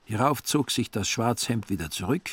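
A man's voice: medium pitch, lively intonation, moderate pace, slightly rough, no room sound. A man narrating a literary story for a radio play in scripted, storytelling style.

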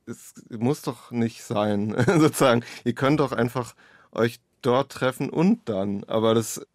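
A man's voice: medium pitch, a little slow, dry recording, studio quality. A man being interviewed as an author, talking reflectively in hesitant, searching explanations full of qualifiers.

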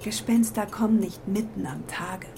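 A middle-aged wife played by an actress talks quietly in an intimate radio play. She is terse and questioning, skeptical but tender, with a mid-range voice and clear ambient noise behind her. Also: mid-range voice, moderate pace, close to an everyday voice. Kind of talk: naturalistic radio drama dialogue.

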